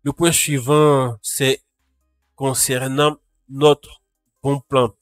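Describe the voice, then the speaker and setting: A man's voice: medium pitch, flat delivery, drawn-out words, no room sound, clean studio sound, faint music behind. A man with a cold hosting a casual live tech news roundup, greeting chat viewers by name. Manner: talks to live chat viewers, frequent restarts. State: has a cold.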